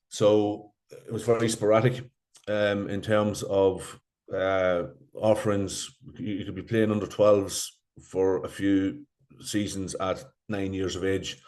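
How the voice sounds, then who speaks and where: Irish guy, middle-aged coach, lots of ums and restarts, kinda deep mellow tone. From Ireland. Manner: hesitant, many ums and repeated words. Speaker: rugby coach. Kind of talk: interview answers.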